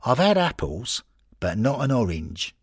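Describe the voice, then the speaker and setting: A man's voice: medium pitch, big pitch swings, a little slow, breathy edge, no echo, clean studio sound, pitched above the performer's own voice, heavily acted. A man voicing a naive, eager young male football player. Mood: delighted.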